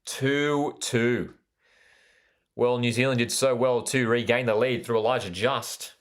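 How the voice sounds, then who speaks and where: A man's voice: mid-range voice, fairly even intonation, unhurried. A man with an Australian accent hosting a live football watchalong, commenting on play and chatting with viewers in an enthusiastic way that repeats phrases for emphasis. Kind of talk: live sports commentary with chat interaction.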